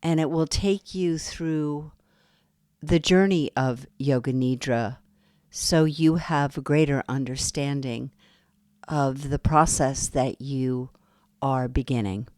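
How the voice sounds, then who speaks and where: Woman guiding yoga nidra, super slow soothing voice, dry close sound. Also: extremely low pitch, no echo. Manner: slow, soft and soothing, with long pauses. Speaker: meditation guide. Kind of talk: guided yoga nidra meditation.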